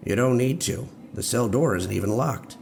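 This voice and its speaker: A man playing a calm, cryptic, repentant old prisoner in an audio drama, speaking with measured, quiet insistence in a gravelly voice. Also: mid-range voice, faint music behind. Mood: measured, urging. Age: older. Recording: noisy ambience behind the voice.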